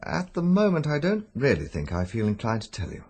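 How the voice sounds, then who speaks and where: An actor playing a courteous, cautious gentleman investigator in a radio drama, polite, probing and sceptical. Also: mid-range voice, wildly swooping pitch, a little fast. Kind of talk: 1940s-style British radio drama acting.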